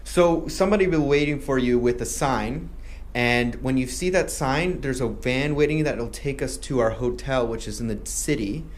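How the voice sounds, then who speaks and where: Man, raised in Malaysia, tour host, promo Q&A, friendly explaining, mid-pitched voice. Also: normal speed. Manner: friendly explaining, with a few restarts.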